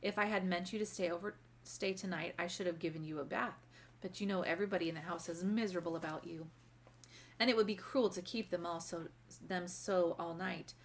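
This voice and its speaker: Lady doing a kindly old grandma from a storybook, calm n wise, fairly low voice, explains things gently. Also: a little hiss or hum, close to an everyday voice. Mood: instructing. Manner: gentle, measured, formal instructing. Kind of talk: storybook read-aloud character voice.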